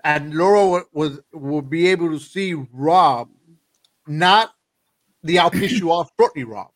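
Emphatic host, checking in with "okay?". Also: male voice, on the high side, expressive, unhurried, no echo, poor audio. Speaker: show host. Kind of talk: casual talk show hosting.

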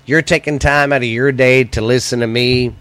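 A man's voice: mid-range voice, steady tone, moderate pace, a bit bright, clean tone, no room sound. A man with an American accent hosting a solo podcast in casual, crude comic chat, blunt and loose, his voice slightly weak and stuffy. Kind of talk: casual, profane comedy chat.

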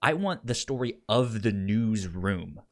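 Low-voiced man in halting podcast chat. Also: expressive. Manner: halting, often repeating word starts. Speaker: podcast co-host. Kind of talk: casual chat, reviewing and recommending.

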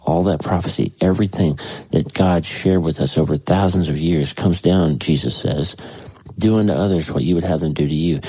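A man hosting a podcast with a casual, conversational devotional talk, his voice congested and husky. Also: deep voice, warm tone, no echo, poor audio. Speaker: podcast host.

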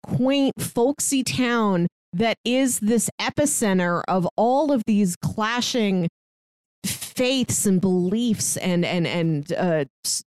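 An enthusiastic woman co-host talks through a podcast in casual chat, laughing easily. Her voice sits at a mid pitch and is recorded close and dry, with no room sound. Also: lively intonation, takes it slowly, a little mellow, clear, resonant tone, no echo.

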